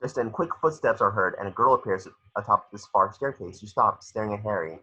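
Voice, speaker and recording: A man reading screenplay stage directions as a narrator, with a raspy voice. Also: lowish voice, a little fast, pushed and bright, rough recording. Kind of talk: scripted narration.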